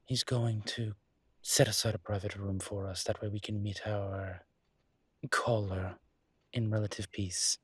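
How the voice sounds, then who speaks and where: Man acting as a flirtatious demon professor, low husky voice, courtly teasing manner, hesitant pauses, intimate audio roleplay. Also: flat delivery, no room sound. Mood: measured, explanatory.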